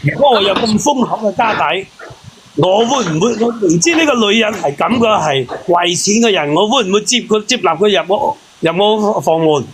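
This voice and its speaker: A man with a very high-pitched voice argues casually but heatedly on an advice panel. He sounds indignant and confrontational, with other voices chattering behind him. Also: lively intonation, a little fast, a crowd in the background. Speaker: panelist on a call-in advice show.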